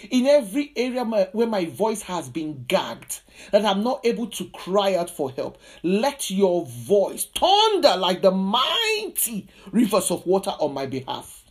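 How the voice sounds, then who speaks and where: A woman preacher delivering a charismatic sermon and prayer, emphatic and insistent, with repeated declarative phrases. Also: mid-range voice.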